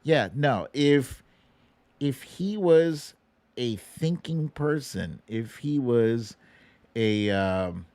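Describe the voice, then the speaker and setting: A man delivering casual political commentary as a livestream host. His pace is unhurried but his pitch swings widely, and he sounds sarcastic and indignant, swearing freely. The recording is dry and close. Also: lively intonation, darkish tone, no room sound.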